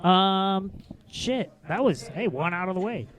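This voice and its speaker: A man's voice: sits high, expressive, a little slow, a bit bright. A man moderating a comedy debate show, loose and hyped, swearing casually. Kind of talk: casual hosting.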